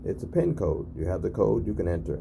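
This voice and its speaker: A very low-pitched man with a gravelly, fry-heavy voice talks casually to camera as he demonstrates equipment on site. His delivery is matter-of-fact and repetitive, and the handheld recording carries clear ambient noise. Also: very animated, fast talker. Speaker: narrator documenting an intercom test. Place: at a building's entry intercom panel.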